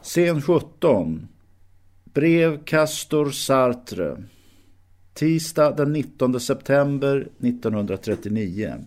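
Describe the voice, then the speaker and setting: A man's voice: medium pitch, lively intonation, a little mellow. A man narrating an audio drama with scripted scene announcements.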